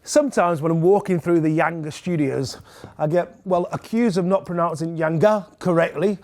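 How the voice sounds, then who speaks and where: A man's voice: on the high side, normal speed, a little mellow. A man with a Mancunian accent, hosting the show in a light, self-mocking way. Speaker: host. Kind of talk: scripted presenting.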